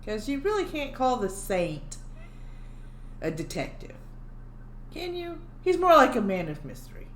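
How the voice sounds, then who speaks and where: A woman's voice: a little high, sing-song, unhurried, long pauses. A woman hosting a livestream on her own, chatting casually and joking about her own technical glitches. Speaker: livestream host. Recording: echoey room with faint background hum.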